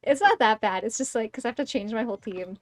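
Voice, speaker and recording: A woman's voice: on the high side, fast, slight background noise. A woman doing casual live gameplay commentary, chatty and playfully grumbling, often muttering to herself as she plays. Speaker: streamer playing a video game. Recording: game music behind the voice. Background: video game music.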